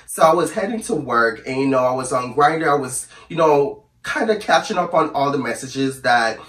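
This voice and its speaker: A man with a hoarse voice from the flu, telling a storytime to camera in a chatty, sassy, rambling way and calling his viewers "girl". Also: medium pitch, lively intonation, moderate pace, a bit bright, roomy sound, distant mic, slight background noise. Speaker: storyteller vlogger. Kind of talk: casual storytime chat to camera.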